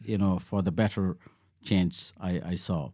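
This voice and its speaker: A man from Bangladesh, a local councillor, answering interview questions in a halting way with many fillers and restarts. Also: deep voice, fairly even intonation, mellow, no room sound, studio quality.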